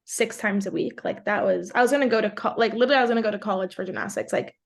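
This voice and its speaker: A woman's voice: medium pitch, very fast, vocal fry. A woman in her twenties from New York, whose first language is Portuguese, chatting casually as a podcast guest and saying "like" a lot. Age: 20s. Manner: chatty, fills speech with "like". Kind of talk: casual interview chat.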